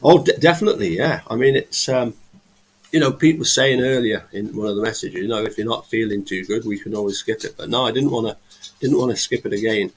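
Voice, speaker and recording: A man from England with a voice of ordinary male pitch, hosting a relaxed chat over a video call. He talks hesitantly, filling pauses and restarting his sentences. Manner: hesitant, many restarts and fillers. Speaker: host. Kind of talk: casual live chat.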